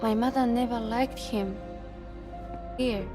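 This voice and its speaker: A young woman longing for her lost father in a video game. She speaks slowly and earnestly in a slightly high voice. Loud score music plays behind her. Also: steady tone. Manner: slow, earnest, pleading. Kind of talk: video game cutscene acting.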